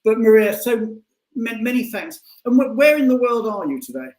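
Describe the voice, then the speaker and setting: Guy hosting an online chat show, pretty high voice, stumbly and repeats words, very roomy echo. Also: sing-song, darkish tone. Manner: halting, often repeats words mid-sentence. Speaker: show host, interviewer. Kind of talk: casual live online interview. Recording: echoey room on online call.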